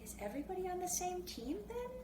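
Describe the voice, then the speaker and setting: A woman voicing a chattering, stubborn, excitable seven-year-old boy in a storybook reading. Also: very high voice, fast talker, pitched above the performer's own voice. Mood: excited, insistent. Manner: jabbering, squealing, insistent. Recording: roomy, distant mic, clearly noisy background.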